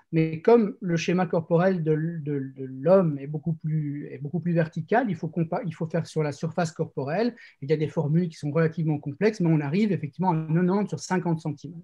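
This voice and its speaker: A man's voice: fairly high pitch, warm tone, breathy edge, highs cut off. A man, a novelist and essayist, speaking as a guest on a discussion panel, explaining in detail and restarting his sentences as he thinks.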